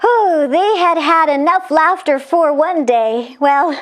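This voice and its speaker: A woman doing an animated read-aloud for children, exclaiming, chuckling and talking directly to her young listeners. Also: sits high, slow pace. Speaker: children's storybook reader and channel host.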